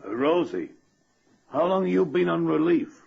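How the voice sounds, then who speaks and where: An actor playing a casual, friendly working-class man, a trucker, in a radio comedy-drama, with a gravelly, raspy voice. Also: on the high side, big pitch swings, unhurried, full character voice. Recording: muffled old radio recording.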